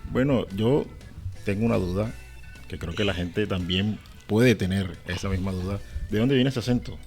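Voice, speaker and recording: Male podcast co-host, Cartagena Caribbean accent, deep register with plenty of fry, music under the voice. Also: moderate pace, a little mellow. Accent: Colombian Caribbean (Cartagena). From Cartagena, Colombia. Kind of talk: casual podcast chat with scripted intro.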